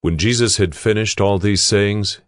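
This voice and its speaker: Low-voiced man narrating scripture. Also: measured pace, no room sound, clean studio sound. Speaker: narrator of an audio Bible.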